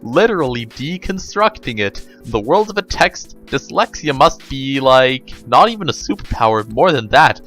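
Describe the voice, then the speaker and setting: A man's voice: mid-range voice, big pitch swings, moderate pace, few pauses, a bit bright, faint music behind. A man voicing a wordy, sarcastic know-it-all with a pedantic manner in a dramatic story reading. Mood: pedantic explaining. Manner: sardonic, pedantic. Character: wordy, sarcastic know-it-all who grudgingly explains things.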